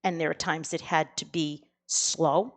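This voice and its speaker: A woman's voice: low voice, fairly even intonation, unhurried. A woman with a Boston accent, an audiobook narrator explaining her recording and editing work in a casual podcast chat.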